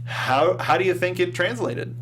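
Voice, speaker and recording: A man with a slightly high voice hosts a casual movie review discussion. He talks in an animated way, acting out mocking quotes as he goes. A faint noisy hum sits behind the voice. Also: normal speed. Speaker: talk show host. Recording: noisy room with electrical hum.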